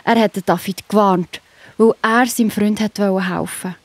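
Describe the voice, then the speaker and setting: A woman's voice: medium pitch, fairly even intonation, normal speed, no room sound. A woman with a Swiss German accent narrating a scripted Bible story for children. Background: music.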